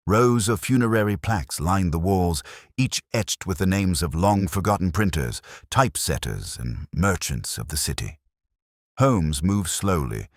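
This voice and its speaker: A man's voice: low-pitched, dry recording, clean studio sound. A man reading a scripted mystery story as a dramatic narrator.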